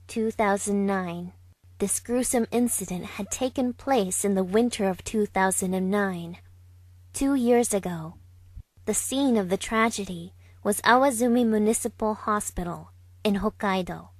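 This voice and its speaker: Measured woman playing a composed young narrator. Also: medium pitch, dry recording, close to an everyday voice. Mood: grave, hushed. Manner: calm, deliberate, measured reading. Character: composed young woman recounting events thoughtfully. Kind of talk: scripted visual novel narration. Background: soft background music.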